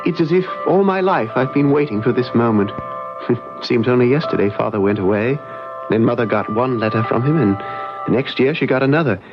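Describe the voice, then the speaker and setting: A man's voice: medium pitch, animated, fairly quick, over loud music, lightly acted. An actor playing an anxious, wistful young man of twenty-one in an old radio drama. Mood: wistful, nervous. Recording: dull vintage radio broadcast.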